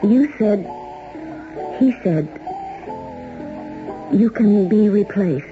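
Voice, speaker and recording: A guarded, wry young woman in a vintage radio play, longing to be free. Her middle-pitched voice comes through very narrow and phone-like, with music playing clearly behind it. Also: animated, drawn-out words. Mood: tentative, hopeful. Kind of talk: 1970s radio drama acting.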